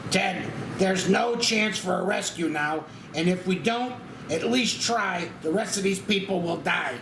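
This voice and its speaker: A man playing an earnest, excitable airline man who urges and stammers in a screenplay table read. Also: high voice, measured pace, vocal fry. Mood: urging. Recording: echoey room with background noise.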